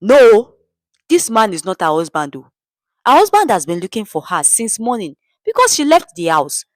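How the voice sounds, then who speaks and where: A woman's voice: medium pitch, very animated, normal speed, no room sound, studio quality, close to an everyday voice. Woman acting an emphatic Nigerian male passer-by. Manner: exclaiming, emphatic. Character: earnest male passer-by, a puzzled family friend.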